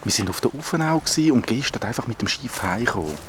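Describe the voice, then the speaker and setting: An actor playing an excitable, touchy schoolboy in a children's audio drama, speaking emphatic, slangy Swiss German. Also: male voice, mid-range voice, fast talker, background noise, close to an everyday voice. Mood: confiding. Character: excitable, bossy schoolboy, touchy big-mouth.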